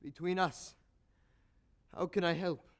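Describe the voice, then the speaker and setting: An actor in a stage play voicing a scheming, lecherous older court composer with formal, courtly, insinuating phrasing. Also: male voice, high-pitched, steady tone, takes it slowly, smooth. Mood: sly, insinuating. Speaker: stage actor in a school one-act play. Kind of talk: theatrical stage period drama acting.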